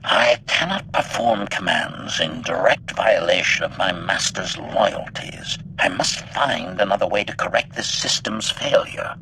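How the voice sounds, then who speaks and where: Clinical, menacing robot assassin droid. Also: male voice, deep voice, expressive, unhurried, few pauses, sharp tone, a little hiss or hum, full character voice, low-quality recording. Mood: clinical, calculating. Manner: formal, clinical, announces statement types before speaking. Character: menacing, coldly logical male combat droid, eager to kill. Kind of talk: video game character acting.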